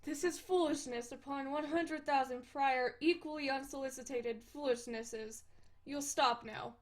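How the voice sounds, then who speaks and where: A woman's voice: high voice, fairly even intonation, unhurried. A woman voicing a haughty, snobbish young man who lectures in a formal way. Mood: disdainful. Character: haughty stiff young male troll, snobbish.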